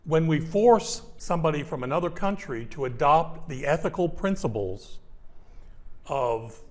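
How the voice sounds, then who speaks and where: A man's voice: on the high side, lively intonation, measured pace. A man in his sixties speaking as an expert guest in a studio interview, arguing his point with passion and emphasis. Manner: gestures broadly while talking. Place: small indoor studio.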